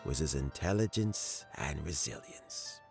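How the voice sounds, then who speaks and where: Slow, gravelly old official. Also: male voice, a bass voice, expressive, a little mellow, soft background music, exaggerated voice. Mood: reflective, courteous. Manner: measured, reflective. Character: old, weary, dignified male official, reflective and courteous. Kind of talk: video game dialogue acting.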